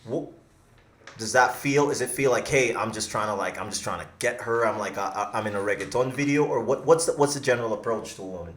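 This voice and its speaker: A man's voice: medium pitch, quick pace, fairly bright tone. A man in his thirties hosting a podcast in a small studio, asking rambling, jokey questions. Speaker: podcast host. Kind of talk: casual interview chat. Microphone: studio mic on arm. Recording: roomy studio echo.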